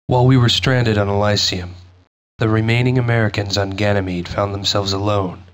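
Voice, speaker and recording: A man playing a grim veteran soldier, narrating a scripted war memoir in a solemn, measured way for a game. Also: lowish voice, flat delivery, background noise, close to an everyday voice. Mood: grim, reflective.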